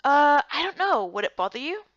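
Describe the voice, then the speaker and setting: A woman plays a young human heroine in a visual novel, curious and a bit unsure. Her high voice is plain-spoken yet lively in pitch. The sound is slightly dull. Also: normal speed, dry recording. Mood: hesitant. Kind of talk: first-person visual novel narration and dialogue.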